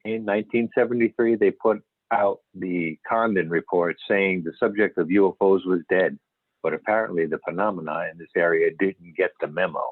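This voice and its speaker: Low-voiced man playing a veteran astronomer, phone-like sound. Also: fairly even intonation, normal speed, no echo. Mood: wry. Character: veteran male astronomer turned UFO investigator, folksy and candid. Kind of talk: amateur readers theater, scripted interview reminiscence. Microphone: phone or videoconference call. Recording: band-limited phone-like conference call audio.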